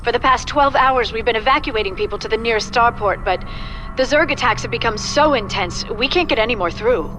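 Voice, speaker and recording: An actress playing an earnest, worried colony doctor in a video game cinematic, speaking formally. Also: female voice, fairly high pitch, a little fast, music in the background, natural voice, rough recording. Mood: urgent, worried.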